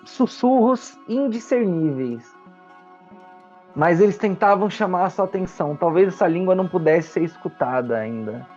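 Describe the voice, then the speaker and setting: A man's voice: sits high, lively intonation, normal speed, darkish tone. A Brazilian man working as game master, describing a fantasy world for a tabletop roleplaying game with conversational tags along the way. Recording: dull lo-fi audio under music. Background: background music.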